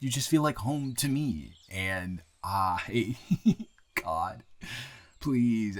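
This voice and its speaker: A man playing an earnest, grateful young man shyly confessing love to a friend in an intimate, halting, improvised audio roleplay. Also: low-pitched, heavily acted. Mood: vulnerable, affectionate. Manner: halting, rambling, earnest, breaking into soft laughs.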